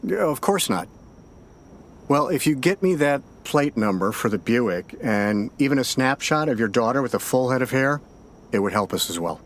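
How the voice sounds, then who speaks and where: An actor playing a steady, probing American sheriff's detective in an audio drama, questioning in a measured, matter-of-fact way. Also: male voice, medium pitch, lightly acted. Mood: polite, businesslike.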